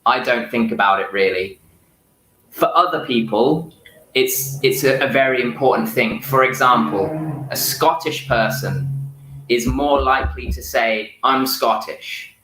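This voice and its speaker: An Englishman talks in a relaxed, easygoing way, explaining and giving advice. His voice is bright and forward, and it rings out in an echoey room. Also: medium pitch, measured pace, a little hiss or hum. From England. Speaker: guest. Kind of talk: casual interview chat.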